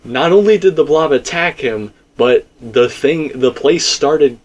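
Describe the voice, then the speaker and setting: A man's voice: on the high side, lively intonation, measured pace, darkish tone, lo-fi sound. A man in a casual theory discussion, explaining his points emphatically and circling back to them. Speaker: video game theorist.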